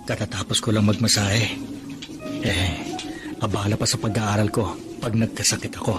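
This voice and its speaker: A man's voice: fairly low pitch, flat delivery, normal speed, loud music behind the voice, close to an everyday voice. A man playing an earnest, determined young Filipino masseur and respectful son in a radio drama, with a Filipino accent. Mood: worried, musing. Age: young adult.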